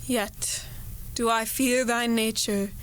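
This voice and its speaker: A young woman playing an ambitious, ruthless noblewoman in a Shakespearean radio play, speaking verse deliberately and dramatically. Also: on the high side, steady tone, a bit bright, rough recording. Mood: scheming resolve. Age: young adult. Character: ambitious, ruthless noblewoman invoking dark spirits.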